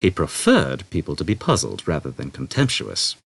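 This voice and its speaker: A man's voice: deep voice, sing-song, normal speed, gravelly. A man reading a novel aloud as scripted, dramatic audiobook narration. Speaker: audiobook reader.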